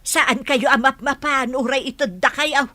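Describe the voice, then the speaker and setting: A woman's voice: sits high, measured pace, sharp tone, dry recording, heavily acted. An actress playing a feisty, easily baffled old village grandmother in an Ilocano comedy radio drama, with an Ilocano accent. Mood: indignant, baffled.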